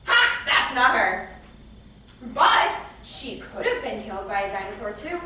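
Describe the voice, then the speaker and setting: A woman's voice: mid-range voice, sing-song, a little slow, bright, forceful tone, exaggerated voice. An actress playing an anxious, stubborn young woman in a staged play reading, emphatic and rambling as she frets about catastrophe. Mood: agitated, indignant. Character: anxious young woman, dramatic, stubborn, afraid to leave her room. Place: live staged reading in a reverberant room. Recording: echoey room from far off, noisy.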